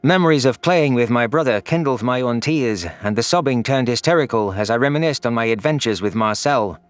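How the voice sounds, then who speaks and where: A man's voice: medium pitch, expressive, a little fast, no echo, close to an everyday voice. A man voicing an anguished, vengeful young furry alien who narrates his own audio story in an earnest, solemn, dramatic way. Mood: self-loathing.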